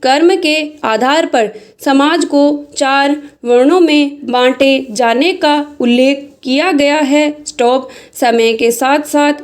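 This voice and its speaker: Female dictation instructor, high voice, slow measured read of formal scripted text, deliberate phrasing. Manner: measured, deliberate, speaks punctuation marks aloud.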